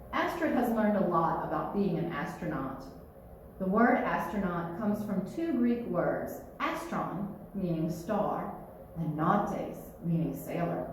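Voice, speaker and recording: Female children's librarian, read-aloud narration, expressive and animated, clear phrasing, heavily reverberant room. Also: medium pitch. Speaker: children's librarian reading storytime. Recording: very echoey room, faint noise.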